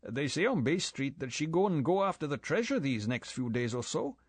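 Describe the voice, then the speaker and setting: A man's voice: fairly high pitch, big pitch swings, moderate pace, husky, dry recording, voice pushed up, exaggerated voice. A man putting on a chatty boatman. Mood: gossipy. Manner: chatty, broken grammar, drawling. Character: weathered old male island boatman, gossipy.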